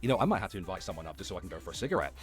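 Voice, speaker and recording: A man's voice: low voice, sing-song, very fast, soft background music. A man hosting a livestream with mocking reaction commentary, foul-mouthed, exasperated and sarcastic. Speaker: livestream host.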